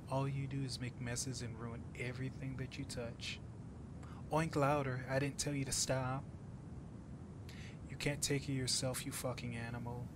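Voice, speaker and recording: A man voicing a harsh, domineering, mocking woman in an ASMR roleplay, swearing scornfully with drawn-out emphasis. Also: lightly acted. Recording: loud buzzing insect noise, low rumble. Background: buzzing flies sound effect.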